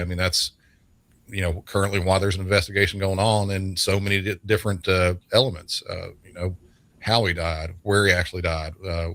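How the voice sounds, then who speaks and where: A man's voice: low voice, fairly bright tone, dry recording. A middle-aged man speaking conversationally as an experienced emergency dispatcher giving his expert view in an interview. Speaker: 911 dispatcher as expert guest.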